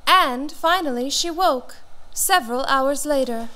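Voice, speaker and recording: A woman narrating a fairy tale as an expressive storyteller. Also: high-pitched, measured pace, a little hiss or hum, poor audio.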